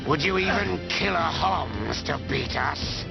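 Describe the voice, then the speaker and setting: An actor voicing a cruel, theatrical traitor in a video game, gravelly, sneering and mocking as he draws out his words. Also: on the high side, animated, exaggerated voice. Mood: mocking, gloating. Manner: sneering, drawn-out, mocking delivery. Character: gloating traitorous villain inside a machine, cruel and theatrical. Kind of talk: video game cutscene acting. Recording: muffled lo-fi game audio, loud music.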